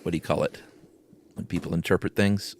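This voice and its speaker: A man's voice: sits low, fairly even intonation, a little fast. An American man co-hosting a podcast, chatting casually and reading horror fiction aloud. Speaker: podcast co-host. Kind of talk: casual chat and dramatic reading of a horror story. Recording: music clearly under the voice. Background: music.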